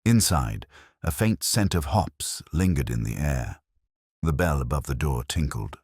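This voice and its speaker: Very deep, husky male narrator. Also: lively intonation, darkish tone, no room sound, clean studio sound. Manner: measured storytelling with wry asides. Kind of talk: scripted mystery narration.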